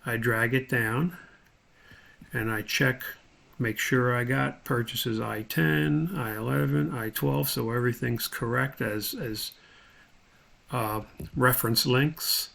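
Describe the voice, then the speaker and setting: A man's voice: mid-range voice, fairly even intonation, slow pace, creaky, a bit bright. A man giving an informal screen tutorial as an instructor, thinking aloud step by step as he demonstrates.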